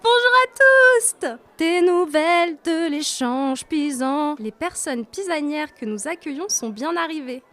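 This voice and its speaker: A woman's voice: high voice, expressive, measured pace, fairly bright tone, soft background music. A woman playing a cheeky, exuberant community news announcer in a parody bulletin, declamatory and tongue-in-cheek. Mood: playful, upbeat.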